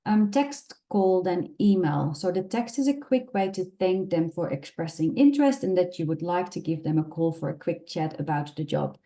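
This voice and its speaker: A woman from the Netherlands with a Dutch accent, presenting a webinar over a video call in a clear, instructive way. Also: lowish voice, expressive, normal speed, hardly any pauses, mellow.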